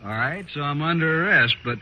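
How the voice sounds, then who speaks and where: An actor playing a cold-blooded, taunting gunrunner in an old-time radio western, with a sneering, menacing delivery. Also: male voice, a little high, lively intonation, a little fast, a crowd in the background, close to an everyday voice. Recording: muffled, noisy old radio recording.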